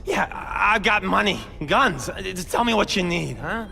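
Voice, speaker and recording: Gang boss arms dealer, cocky coward, high forceful gravelly voice, video game, long reverb. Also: male voice, animated, normal speed, soft background music, full character voice. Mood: pleading, scared. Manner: taunting or begging, faltering. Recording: long echo, faint background noise.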